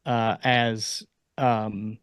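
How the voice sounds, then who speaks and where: A man in a casual podcast discussion, halting and emphatic, repeating words as he explains his point. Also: on the low side, level tone, a bit bright, clean tone, dry recording, clean studio sound. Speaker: podcast panelist.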